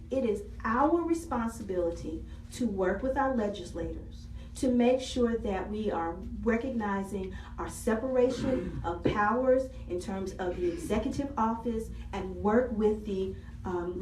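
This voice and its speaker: A woman's voice: medium pitch, unhurried, a little mellow, slight background noise. A woman council member addressing a public meeting, speaking earnestly and repeating key phrases for emphasis. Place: city council meeting room. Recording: echoey hall, voice from far off.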